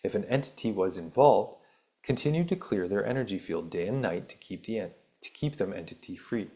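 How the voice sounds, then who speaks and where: A man hosts his own healing podcast, his voice sitting fairly low. His voice has gone raspy from reading aloud. He stumbles over words as he reads, then drifts into loose, rambling chat padded with ums and uhs. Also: expressive, fairly quick, mellow. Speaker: podcast host. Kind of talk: reading an article aloud with casual asides.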